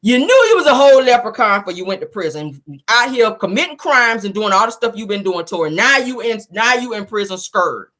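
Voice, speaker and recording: A woman's voice: a little high, a little fast, hardly any pauses. A woman hosting a casual gossip show, sassy and colloquial, repeating phrases for emphasis. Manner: sassy, animated asides. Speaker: online show host. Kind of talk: casual celebrity gossip commentary.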